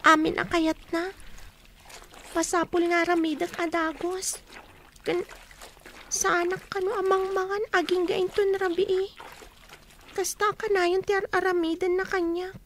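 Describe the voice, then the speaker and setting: A woman's voice: very high voice, little pitch movement, measured pace, long pauses, fairly bright tone, a little hiss or hum, full character voice. A woman playing a meek, mistreated young niece in an Ilocano radio drama, speaking in a submissive, plaintive way. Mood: sorrowful.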